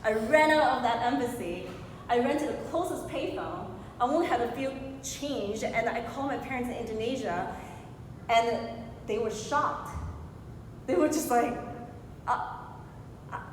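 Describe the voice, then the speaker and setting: Echoey hall recording of a woman speaking motivationally. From Hong Kong. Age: 40s. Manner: rhetorical questions to the audience. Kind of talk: motivational speech telling a personal story. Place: large echoing room before an audience. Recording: very echoey hall with clear noise and rumble.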